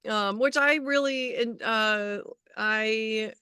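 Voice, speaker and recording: A woman's voice: a little high, slow pace, pushed and bright, clear, resonant tone, no echo, clean studio sound. A middle-aged woman co-hosting a spiritual talk show in a casual, effusive, agreeable chatting style. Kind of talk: casual chat.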